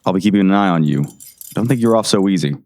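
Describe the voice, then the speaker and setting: A man's voice: sits low, quick pace, darkish tone. A man playing a stubborn, suspicious small-town sheriff in a 1960s audio drama, curt, sarcastic and defiant. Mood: grudging, menacing.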